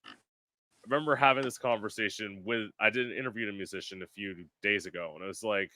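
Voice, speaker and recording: A man's voice: lowish voice, quick pace, pushed and bright, no room sound. A man from South Florida hosting a podcast in casual chat, talking with lots of filler words. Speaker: podcast host.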